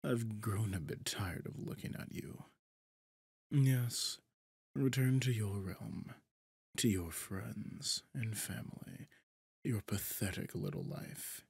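A man playing a smug, possessive demon deal-maker in an ASMR roleplay, husky and breathy, often near a whisper, theatrical and taunting. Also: on the low side, darkish tone, no room sound, studio quality, heavily acted. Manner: theatrical, taunting, slow and deliberate. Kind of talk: ASMR roleplay audio drama.

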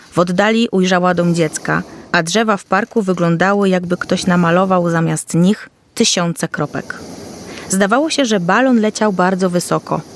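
A woman's voice: fairly low pitch, a little hiss or hum. A woman storyteller reading a scripted fairy tale for children.

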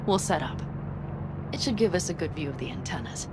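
A woman's voice: sits low, lively intonation, fairly quick, very noisy. An actress in a video game playing a brash, hot-headed young nomad woman, confident, cocky and sweary. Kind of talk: video game cutscene acting.

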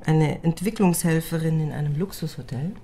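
Low, mellow-voiced female detective. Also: moderate pace, breathy edge. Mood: shrewd, probing. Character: shrewd, probing female police investigator. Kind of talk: radio crime drama interrogation.

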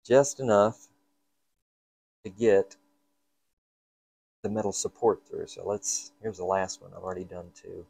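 A man's voice: sits low, steady tone, measured pace, vocal fry, pauses often, warm tone, breathy edge. A man giving casual hands-on repair narration, half talking to himself in fragments as he works in an indoor workshop. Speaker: hobbyist repair host.

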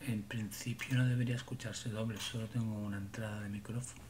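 A man's voice: on the low side, level tone, quick pace, noisy background. A man in his forties from Barcelona, chatting casually with viewers on a livestream from a small room at home, often rambling and muttering to himself. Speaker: livestream host.

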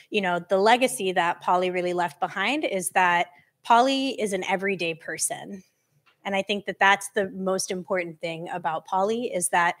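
A young woman from North Carolina speaking earnestly in long flowing sentences on a panel, with a loud teacher voice, into a handheld mic on a small stage before a live audience. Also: lowish voice. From Durham, North Carolina. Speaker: panel guest.

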